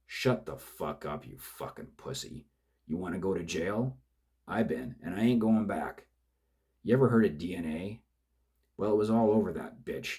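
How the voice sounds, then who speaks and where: Rough, smoke-worn killer, very low and husky, slow contempt, read by a male narrator. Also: a little mellow, heavily acted. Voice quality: rough, tobacco-cured.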